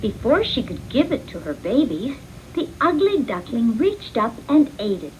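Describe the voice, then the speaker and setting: A woman reading a children's storybook in an expressive, deliberate read-along manner. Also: a little high, slight background noise, rough recording. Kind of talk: scripted children's storybook narration.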